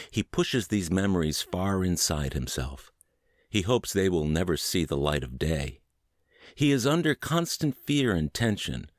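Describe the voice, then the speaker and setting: A man reads a book aloud in a very low voice. His intonation moves widely, with measured, deliberate phrasing. The sound is dry and close, with no room echo, as in a narrated audiobook. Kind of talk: scripted narration, word-for-word book reading.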